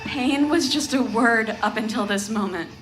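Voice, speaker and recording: An actress playing a grave, defiant female survivor, recounting trauma in a dramatic, deliberate way for horror audio fiction. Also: on the high side, natural voice. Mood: haunted. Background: music.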